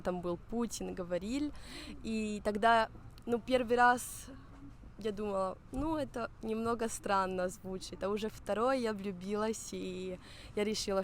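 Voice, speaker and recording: A woman's voice: medium pitch, slight background noise. Young woman speaking learned Russian. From Spain. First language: Spanish and Italian. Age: young adult. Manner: hesitant, searching for words with fillers. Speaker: guest. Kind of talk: casual interview in learned Russian.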